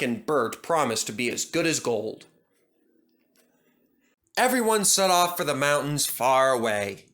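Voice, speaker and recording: A man's voice: on the high side, bright, forceful tone. A man narrating a scripted children's story as a storybook reader.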